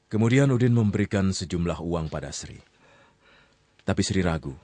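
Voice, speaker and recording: Deep-voiced male storyteller. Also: no echo. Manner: calm, measured narration with casual asides. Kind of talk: radio story narration.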